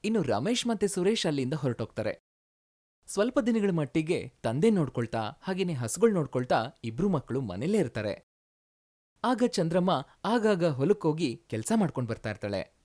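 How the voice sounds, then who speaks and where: Narrator telling a folk story, mid pitched, scripted read. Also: sing-song, moderate pace, darkish tone.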